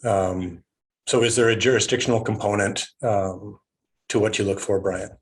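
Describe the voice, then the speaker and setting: A man hosting a webinar interview who asks questions haltingly and restarts his phrases. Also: lowish voice, steady tone. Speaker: webinar host.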